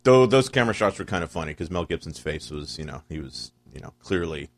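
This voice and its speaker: A man with a very low voice chatting bluntly on a talk show, cursing freely and cutting in often. The sound is dry and close to the mic. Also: expressive, dry recording. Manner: blunt and profane, quick interjections. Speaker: podcast co-host. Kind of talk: casual political chat.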